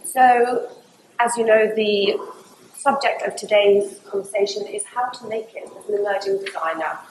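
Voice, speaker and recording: A woman's voice: medium pitch, normal speed, slight background noise. Woman hosting a panel in an echoey room. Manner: upbeat, brief affirmations. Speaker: host, moderator.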